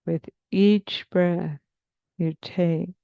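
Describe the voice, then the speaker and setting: A woman therapist leading a guided meditation in a soothing, measured, hypnotic manner with long pauses, on slightly muffled audio. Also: lowish voice, fairly even intonation, gravelly, mellow, dry recording. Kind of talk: slow guided meditation narration.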